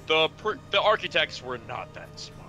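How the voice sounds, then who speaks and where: A man's voice: on the high side, big pitch swings, moderate pace, sharp tone. A man casually joking and swearing in exasperated banter while commenting on a video game as part of a group. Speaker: voice actor in a group video game dub playthrough. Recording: music behind the voice.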